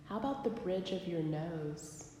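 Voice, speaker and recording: A woman leading a guided body scan meditation, speaking gently and unhurriedly with long pauses. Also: lowish voice, animated, faint music behind. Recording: very echoey live recording, long reverb.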